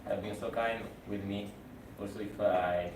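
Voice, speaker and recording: A man with an Italian accent, a teacher speaking earnestly and haltingly at a group report-out, laughing lightly now and then. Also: lowish voice, steady tone. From Italy. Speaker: teacher in an exchange program. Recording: echoey room, distant mic, background noise.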